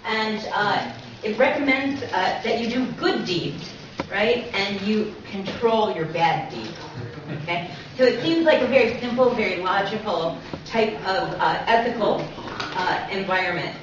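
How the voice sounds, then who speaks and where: A woman's voice: medium pitch, expressive, unhurried. Woman lecturing in a very echoey, noisy room. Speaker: lecturer, co-presenter. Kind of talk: public lecture, explaining to an audience. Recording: very echoey room, distant mic, muffled, noisy.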